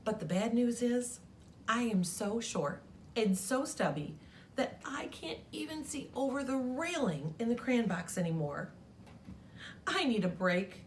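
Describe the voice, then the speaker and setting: A woman's voice: a little high, very animated, measured pace, a little hiss or hum. A woman voicing a short, worn-out blue crayon from a storybook, politely and wearily complaining. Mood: weary, appreciative.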